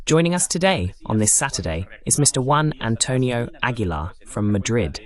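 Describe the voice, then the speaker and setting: Formal male host with lively intonation. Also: mid-range voice, moderate pace. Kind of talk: scripted introduction and moderating questions, translated voice-over.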